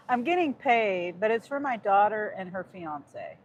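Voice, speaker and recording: Slow-talking Midwestern woman on a car livestream. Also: medium pitch, lively intonation, dull audio, a little hiss or hum. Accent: Midwest American. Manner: chatty, rambling, reads viewer chat aloud. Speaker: gig worker livestreamer. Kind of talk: casual livestream chat. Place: inside a car. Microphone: phone on a dashboard mount.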